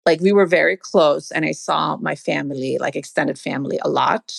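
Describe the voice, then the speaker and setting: Low creaky-voiced woman from Sweden. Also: normal speed, no room sound. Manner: reflective, warm storytelling with many "like" fillers. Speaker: podcast guest. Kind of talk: casual conversation.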